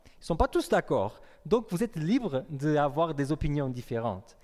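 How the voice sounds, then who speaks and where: Young pastor preaching in an echoing hall. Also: male voice, on the high side, sing-song, moderate pace, darkish tone. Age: young adult. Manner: conversational, asks the congregation questions. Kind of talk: sermon. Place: church hall. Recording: long reverb of a hall.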